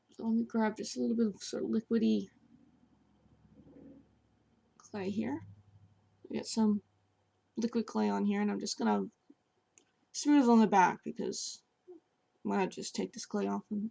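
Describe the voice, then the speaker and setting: Woman, hoarse, scratchy, gravelly fry, casual chatty demo at a home table, middling pitch. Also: steady tone, normal speed, long pauses, a little mellow. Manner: chatty, with fillers while working with her hands. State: hoarse, voice partly lost. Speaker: sculptor reviewing clay. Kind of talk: casual demonstration.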